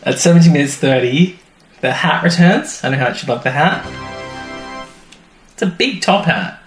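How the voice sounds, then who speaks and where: A man's voice: fairly high pitch, expressive, moderate pace, faint music behind. Casual male podcast co-host in an echoey room. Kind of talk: casual banter recapping a TV show. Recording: roomy echo, faint background noise.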